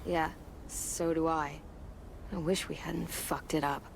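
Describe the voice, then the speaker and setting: A woman's voice: deep voice, little pitch movement, slow pace, vocal fry, background noise, exaggerated voice. An actress playing a blunt, bitter teenage girl in a naturalistic video game drama, with a dry delivery. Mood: bitter regret.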